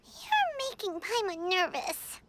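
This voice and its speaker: A woman voicing a small, chirpy, curious fairy companion in a video game, with a squeaky childlike voice. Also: sing-song, slow, noisy background, heavily acted. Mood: nervous curiosity. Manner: refers to self by name, bubbly. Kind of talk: video game character acting. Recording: music playing behind the voice.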